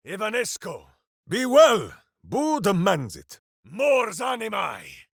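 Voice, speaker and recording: A big-hearted, simple video game warrior hero who devotedly dotes on his pet hamster. The delivery is bombastic and swings wildly in pitch, taken at an unhurried pace. The sound is close and dry. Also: wildly swooping pitch, gravelly, husky, dry recording, exaggerated voice. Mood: rousing, battle-ready. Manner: bombastic, speaks of himself in the third person. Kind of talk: video game character voice lines.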